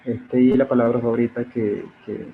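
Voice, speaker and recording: Colombian guy on a video call, flat slow voice, phone-quality audio with a bit of hiss. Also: medium pitch. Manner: slow, formal greetings with fillers. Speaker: workshop participant. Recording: tinny phone-like line with faint noise.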